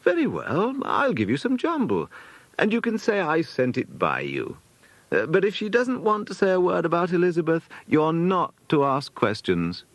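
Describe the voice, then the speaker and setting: Man as British mum in a children's storybook: sensible, obliging yet firm, voice raised high. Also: wildly swooping pitch, moderate pace, no room sound, full character voice. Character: kindly, sensible middle-class mother of a schoolboy.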